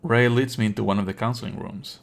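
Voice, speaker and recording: A man giving live let's play commentary as a game streamer, expressive, sardonic and exasperated, swearing casually. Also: lowish voice, quick pace, vocal fry.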